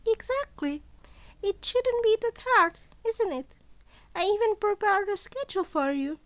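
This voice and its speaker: A high, lifted voice for a scheming principal creature played by a woman in a fan fiction story. The delivery is polite and amused, with a sly show of innocence. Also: expressive, unhurried, a bit bright, full character voice. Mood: breezy.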